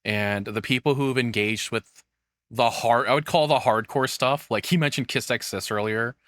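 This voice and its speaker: A man reacts like a streamer, chatting casually and bluntly with plenty of swearing. His voice is bright and forward, recorded up close and very dry, with no room sound around it. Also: mid-range voice, normal speed, dry recording.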